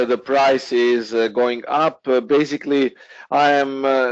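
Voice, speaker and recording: A man's voice: medium pitch, no room sound. A man working as a day trader who explains a chart step by step, hesitating often. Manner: hesitant, many "uh" fillers, walks through a chart. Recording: dull lo-fi webinar audio, dry.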